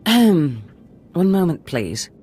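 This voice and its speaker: A silky scheming Sith lord. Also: female voice, lowish voice, sing-song, slow pace, mellow, breathy edge, heavily acted. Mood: imperious. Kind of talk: game voice acting.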